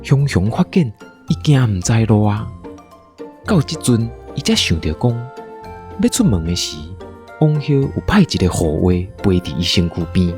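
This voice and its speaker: A man with a Taiwanese accent narrating a comic radio drama in a playful, expressive storytelling style. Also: lowish voice, normal speed, soft background music.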